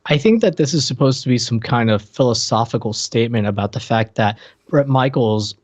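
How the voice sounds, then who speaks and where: A man's voice: medium pitch, lively intonation, fairly quick. A man co-hosting a podcast in loose, unscripted pop-culture chat with a joking streak. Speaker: podcast co-host.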